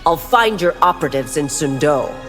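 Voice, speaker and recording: A woman's voice: low voice, lively intonation, normal speed. An actress playing a stern young female sheriff who talks firmly, in a game clip. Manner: firm, commanding. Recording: game clip with music behind.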